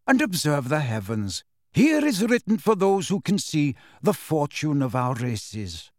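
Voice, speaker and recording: A man voicing a calm, stately male centaur teacher who speaks in a measured, aloof way. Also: on the high side, no room sound, clean studio sound, voice pushed up. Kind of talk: audiobook character voice.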